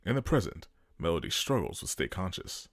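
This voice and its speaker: A man's voice: deep voice, big pitch swings, brisk, noisy background. A man reading scripted dramatic narration as the storyteller of an audio drama.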